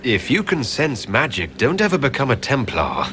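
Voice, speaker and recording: An actor playing a composed, courteous male mage leader in a fantasy game, measured and formal. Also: medium pitch, wildly swooping pitch, sharp tone. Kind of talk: fantasy video game dialogue.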